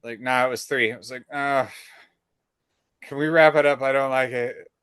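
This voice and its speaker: A man co-hosting a podcast, telling an animated story in casual chat and acting out the dialogue. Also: medium pitch, normal speed, clear, resonant tone, no room sound, clean studio sound. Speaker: podcast co-host.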